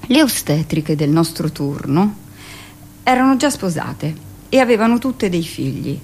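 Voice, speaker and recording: A woman's voice: lowish voice, big pitch swings, vocal fry, darkish tone, a little hiss or hum, exaggerated voice. A woman playing a brusque, gossipy middle-aged hospital midwife who tells her memories in a theatrical, conversational radio monologue. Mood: wistful, confiding. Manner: conversational, dramatic pauses, shifts into mimicked speech. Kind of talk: theatrical first-person monologue, confiding storytelling.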